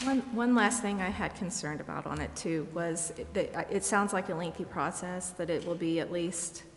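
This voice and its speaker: Casting: American woman, council member, lowish voice with fry, halting earnest delivery in a reverberant chamber. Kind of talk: informal remarks at public meeting. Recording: echoey hall, faint background noise.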